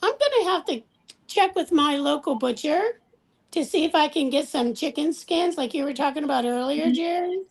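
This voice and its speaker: An older woman in her sixties with a high voice. She chats casually and agreeably, like a friendly co-host on a live stream. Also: moderate pace, low-quality recording. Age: 60s. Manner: agreeable, chatty. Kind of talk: casual livestream chat.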